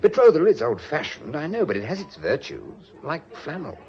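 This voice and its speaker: A British actor playing a breezy, teasing society portrait painter in a radio drama, with a jaunty, theatrical way of bantering. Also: male voice, a little high, wildly swooping pitch, moderate pace. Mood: brisk, playful. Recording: muffled radio drama with background noise.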